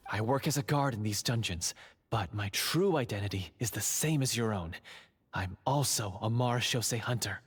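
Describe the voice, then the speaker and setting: An undercover dungeon guard in a video game. He has a very breathy, husky voice and speaks formally, with hushed urgency. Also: on the low side, normal speed. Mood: hushed, urgent.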